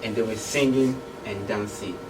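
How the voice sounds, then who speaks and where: A man's voice: on the low side, fairly even intonation, normal speed, background noise. A man with a Nigerian accent telling a folktale as a storyteller. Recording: distant mic, loud music and crowd.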